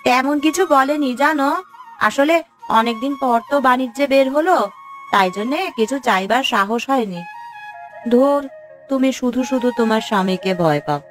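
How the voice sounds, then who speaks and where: Lady doing fond village wife, bengali cartoon, chatty coaxing, highish, bit of music. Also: moderate pace, natural voice. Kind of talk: Bengali cartoon story dubbing.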